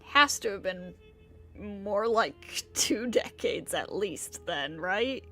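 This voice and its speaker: A woman livestreaming a game with casual commentary, musing aloud in an unhurried way. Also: medium pitch, expressive, a bit bright, soft background music. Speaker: game streamer.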